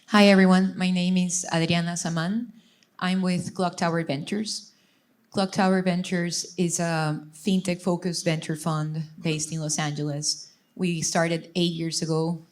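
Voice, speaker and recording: A woman's voice: on the low side, darkish tone. A woman from Ecuador, a venture fund director, introducing herself fluently on a panel. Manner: fluent and composed.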